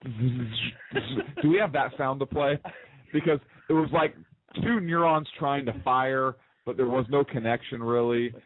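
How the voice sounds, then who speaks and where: A man's voice: mid-range voice, unhurried, dry recording. An American man co-hosting a casual political talk show, telling stories with animation and teasing his co-host. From United States. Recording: dry, phone-like narrow sound.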